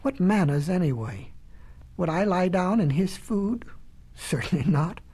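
A woman voicing a patient, sensible male work ox who reasons calmly and reproaches with polite restraint. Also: on the low side, measured pace, slightly rough. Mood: reasoning.

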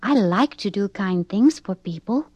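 A woman's voice: medium pitch, animated, a little slow, mellow, husky, no room sound, highs cut off. A woman voicing a sweet, polite six-year-old girl who speaks eagerly and kindly.

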